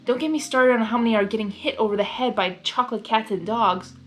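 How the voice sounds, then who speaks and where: A woman's voice: a little high, quick pace, a bit bright, soft background music, close to an everyday voice. A woman voicing a stern, protective male pony guard captain with an urgent, demanding manner. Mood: grave.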